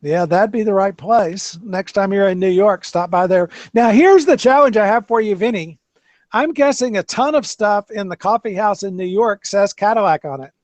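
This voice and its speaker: A man's voice: sits high, moderate pace, a little mellow, dry recording. A man hosting a casual car chat, talking in loose, rambling sentences with many restarts. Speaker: podcast host. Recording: dull lo-fi dry sound.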